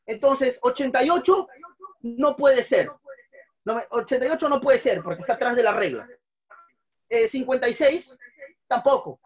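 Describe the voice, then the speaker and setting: Muffled man teaching a math class. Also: very high voice. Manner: patient, repeats each step, asks students questions. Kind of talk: online class at a whiteboard. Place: small room at a whiteboard.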